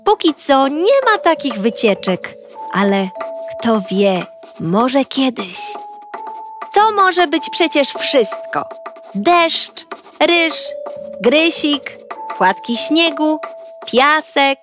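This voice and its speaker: A woman narrating a children's audiobook as a cheerful young girl, talking brightly and directly to young listeners. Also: high-pitched, sing-song, extremely slow, long pauses, over music. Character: cheerful young girl telling her own story. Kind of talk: first-person storybook narration for children.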